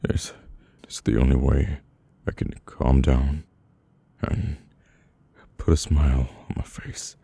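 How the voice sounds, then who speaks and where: Very deep, gravelly British mafia boss played by a man. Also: level tone, warm tone, no room sound, exaggerated voice. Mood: distressed, desperate. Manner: slow, halting, weary menace. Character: brooding, domineering mafia boss, bitter and burdened. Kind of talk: intimate ASMR audio roleplay.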